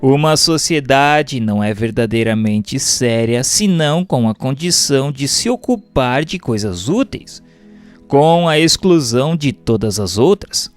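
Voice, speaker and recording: A Brazilian man narrates a written text in a mid-range voice. His delivery is formal and measured, like a teacher setting out principles. Soft music plays behind him. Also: medium pitch. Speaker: narrator reading a spiritist book aloud. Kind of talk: scripted audiobook narration.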